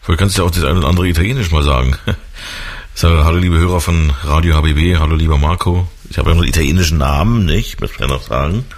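A man with a very deep voice hosts a radio interview. He talks in a loose, chatty way and fills his pauses with "äh" and "ähm". He asks his guest questions as he goes along. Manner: chatty, with many fillers. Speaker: radio host.

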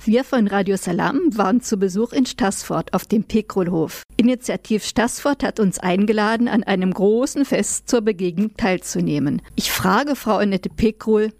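A woman presenting a community radio magazine, reading her script in a clear, friendly way. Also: mid-range voice, normal speed, hardly any pauses, darkish tone.

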